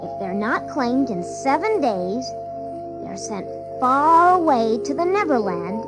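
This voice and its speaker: A woman's voice: high-pitched, big pitch swings, takes it slowly, music in the background, exaggerated voice. A woman playing a cocky, boastful little boy with a childlike manner in an audio drama of a storybook. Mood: eager. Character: cocky, boastful ageless little boy who can fly.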